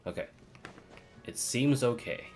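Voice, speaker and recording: A man's voice: medium pitch, very animated, unhurried, a little mellow, faint music behind. A man doing casual live-stream gaming commentary, muttering and thinking aloud to himself. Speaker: game streamer.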